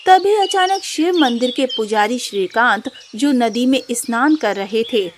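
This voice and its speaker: A woman reading scripted storybook narration as the narrator of an animated folk tale. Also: on the high side, unhurried, noisy background.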